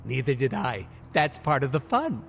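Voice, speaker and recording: Wisecracking mercenary antihero, wildly animated. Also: male voice, mid-range voice, moderate pace, creaky, slight background noise. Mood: cocky, joking. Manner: jokey, theatrical, sarcastic delivery. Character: wisecracking, irreverent male mercenary antihero, cocky and hyperactive. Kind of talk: video game cutscene acting.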